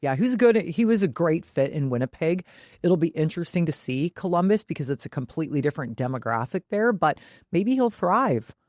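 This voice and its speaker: A woman's voice: sits low, big pitch swings, brisk, a little mellow, no room sound, studio quality. A woman co-hosting a podcast in casual sports chat, opinionated and emphatic, swearing freely. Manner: freely profane. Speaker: podcast co-host.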